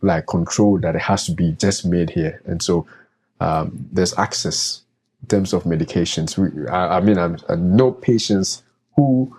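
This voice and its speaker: A man with a very low, mellow voice talks through practical advice in a relaxed podcast chat. He speaks as a doctor, hedging with fillers and restarting his sentences as he goes. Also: unhurried, breathy edge. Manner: conversational, many fillers and restarts. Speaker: doctor and co-host.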